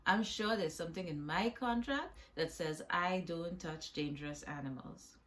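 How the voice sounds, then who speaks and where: A woman's voice: fairly low pitch, moderate pace, a bit bright, close to an everyday voice. A woman voicing a stubborn goby fish employee in a children's story, grumbling and defiant.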